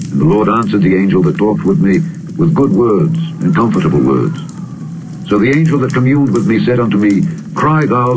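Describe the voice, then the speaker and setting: A man reads scripture as a solemn narrator, in a measured, formal and declamatory way. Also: fairly low pitch. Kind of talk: solemn scripted audiobook reading of the Bible. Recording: steady loud crackling fire and rain noise. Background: crackling fire and soft rain.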